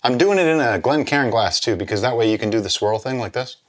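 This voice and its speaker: A man hosting a drinks review vlog, chatting casually and explaining as he muses aloud, with pauses and little check-ins. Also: medium pitch, expressive. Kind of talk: casual chat, tasting review.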